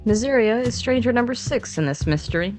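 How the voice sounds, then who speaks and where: A woman's voice: a little high, expressive, fairly quick, a little mellow. Woman co-narrating playfully over guitar music. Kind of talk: conversational scripted story narration. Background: plucked guitar music.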